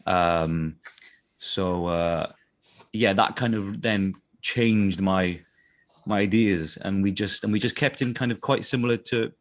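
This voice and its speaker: A man's voice: sits low, a little slow. A man with a South Welsh accent, a voice actor chatting as an interview guest, full of restarts and "do you know what I mean". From South Wales. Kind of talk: casual interview chat.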